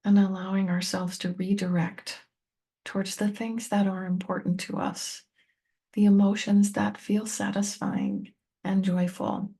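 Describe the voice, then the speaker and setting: A woman leads a guided meditation in a soft-edged, dark voice with plenty of fry. Her pitch stays fairly level as she gives gentle, unhurried instructions straight to the listener, leaving space between short phrases. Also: little pitch movement. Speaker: meditation teacher and tapping coach.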